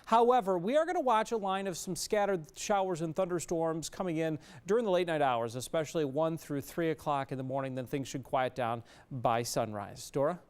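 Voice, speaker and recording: A man's voice: fairly high pitch, brisk, clean tone. A man with an American accent giving an off-the-cuff weather forecast as a TV meteorologist.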